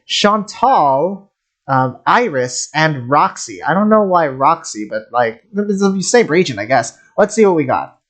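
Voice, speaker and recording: A host talking casually and a little haltingly, reacting to a video game as commentary. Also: medium pitch, lively intonation, fairly bright tone. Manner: halting, hesitant, giggly.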